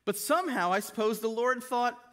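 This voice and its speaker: A man in his thirties preaches from a church pulpit, his voice sitting high for a man. He talks animatedly and climbs to emphatic peaks. He reads scripture aloud into a stand mic. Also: a little fast, fairly bright tone. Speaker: guest preacher. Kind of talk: sermon with scripture reading. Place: church stage, mid-size indoor hall.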